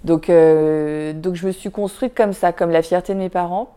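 A woman with a low, mellow voice talks at length in a casual interview. She is thoughtful and candid, pausing often and restarting her sentences as she gathers her thoughts. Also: steady tone, clean tone. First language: Vietnamese. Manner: thoughtful, many fillers and restarts, addresses listener with "tu vois". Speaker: podcast guest, filmmaker. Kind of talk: casual reflective interview talk.

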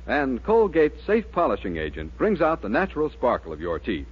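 Husky, very animated American radio announcer. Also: male voice, mid-range voice, brisk. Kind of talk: scripted 1940s radio advert announcing. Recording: dull 1940s radio recording.

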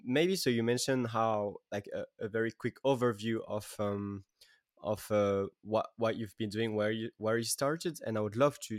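A man's voice: lowish voice, dry recording, studio quality. A man hosting a podcast, asking questions in a casual interview, with many fillers and restarts. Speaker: podcast host.

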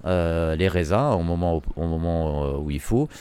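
A man's voice: deep voice, moderate pace, warm tone, smooth. A middle-aged man from Lebanon, a winemaker giving a tour of his winery, talking with frequent hesitations. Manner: hesitant, many fillers. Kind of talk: guided tour interview.

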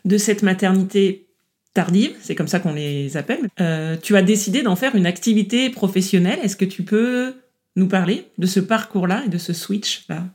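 A woman interviewer asking relaxed, conversational questions. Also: medium pitch, normal speed.

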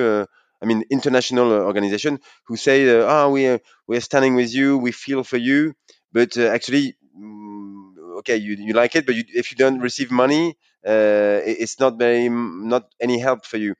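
A male interviewer with a smooth, resonant, fairly low voice. He stumbles and restarts as he frames his questions. The sound is dry and close. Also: dry recording. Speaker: interviewer, podcast host.

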